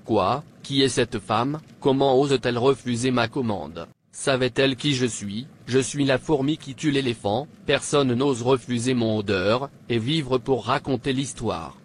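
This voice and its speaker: A man's voice: medium pitch, measured pace, a little hiss or hum, close to an everyday voice. A man playing an arrogant, tyrannical king in a dubbed cartoon, speaking in an imperious, boastful manner. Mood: indignant. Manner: imperious, boastful, indignant questioning. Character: arrogant, tyrannical pagan king demanding obedience.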